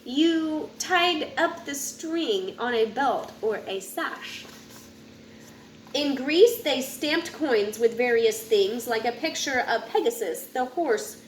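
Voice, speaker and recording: A woman reading a rhyming children's picture book aloud in an expressive, sing-song way, occasionally stumbling over a word. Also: sits high. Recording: roomy echo with faint background noise.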